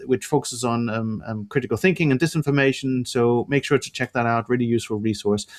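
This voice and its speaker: An upbeat man hosting an education podcast. He speaks fluently with a few fillers, thanks his guest and points listeners to resources. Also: medium pitch. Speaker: podcast host. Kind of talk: interview hosting, wrap-up.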